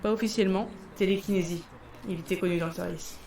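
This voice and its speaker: Police colleague played by a woman for audio drama: brisk, factual, mid pitch, faint background ambience. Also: fairly even intonation, gravelly, a little mellow, roomy sound, slight background noise, lightly acted. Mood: businesslike. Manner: businesslike, matter-of-fact. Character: brisk, matter-of-fact police colleague briefing on murders. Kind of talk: French audio drama acting.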